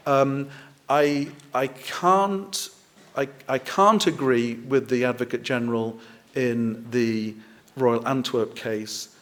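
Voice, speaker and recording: A man, a legal academic, making a careful, argumentative answer at a university panel discussion, with frequent restarts. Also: mid-range voice. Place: university faculty event.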